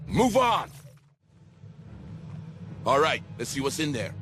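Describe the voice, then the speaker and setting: An actor playing a brisk marine in the field, giving terse, urgent video game battle barks. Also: male voice, on the high side, very animated, over music.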